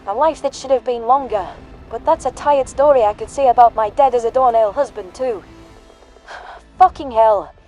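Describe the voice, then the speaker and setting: A woman's voice: a little high, moderate pace, mellow, faint music behind, lightly acted. A woman playing a tough, sardonic, grieving human soldier in a sci-fi audio drama, blunt and given to swearing. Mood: bitter, grieving.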